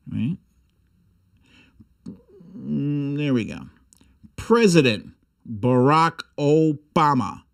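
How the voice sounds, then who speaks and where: A man hosting a comic political livestream, speaking with sarcastic, irreverent bite and theatrical, drawn-out emphasis. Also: on the high side, creaky. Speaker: host of a political humour livestream. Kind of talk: comic political commentary and mock storytime reading.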